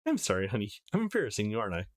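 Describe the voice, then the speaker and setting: Male reader as kindly older motherly woman for a visual novel, gentle fussing, very swoopy intonation. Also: fairly low pitch, wildly swooping pitch, racing, no room sound, studio quality. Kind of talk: visual novel character reading.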